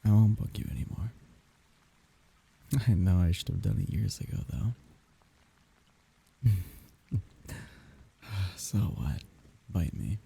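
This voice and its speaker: Husky, dark-voiced grieving man acted. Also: expressive, full character voice. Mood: wistful, wry. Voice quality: husky, breathy, gravelly low voice. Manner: wry, tender, halting, half-murmured. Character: grieving man bidding farewell to dead lover. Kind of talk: intimate ASMR roleplay audio drama.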